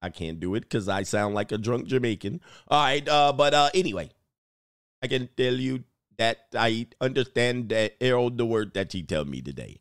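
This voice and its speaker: A man's voice: mid-range voice, expressive, normal speed, clean tone, no echo, clean studio sound. A man giving casual, rambling reaction commentary, joking and trailing off mid-thought. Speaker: YouTube commentator.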